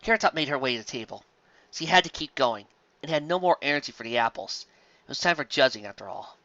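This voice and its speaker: Expressive, bright-voiced story reader. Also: mid-range voice, fast talker, no echo, muffled recording. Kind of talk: reading a pony fan story aloud.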